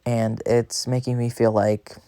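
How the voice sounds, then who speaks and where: A man's voice: mid-range voice, little pitch movement, unhurried, dark tone, smooth, dry recording. A man rambles casually while playing a video game, chatty, self-deprecating and easily sidetracked. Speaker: gaming video creator. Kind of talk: casual rambling gameplay commentary.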